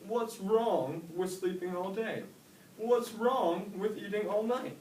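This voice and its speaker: A man's voice: high-pitched, slow, darkish tone, voice pushed up. A man voicing an easygoing father snail who speaks up firmly for his family, heard from far off in an echoey room. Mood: fussing. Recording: echoey room, mic far off, faint hiss.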